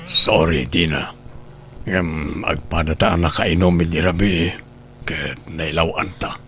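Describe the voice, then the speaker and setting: A man's voice: sits low, steady tone, slow, pushed and bright, soft background music, exaggerated voice. A man with an Ilocano Filipino accent playing a hesitant, apologetic older brother in a comedy drama.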